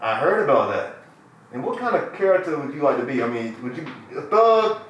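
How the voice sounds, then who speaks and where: A man's voice: a little high, sing-song, brisk, a bit bright, muffled recording, slight background noise. Laid-back man hosting in an echoey room. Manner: loose, joking, slangy banter. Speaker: podcast host. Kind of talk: casual interview chat.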